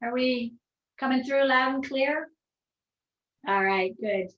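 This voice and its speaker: A woman's voice: sits high, slow, muffled recording. A woman moderator giving an enthusiastic live introduction from a room in a home. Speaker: moderator, facilitator. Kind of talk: live introduction to a group reading. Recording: echoey room pickup.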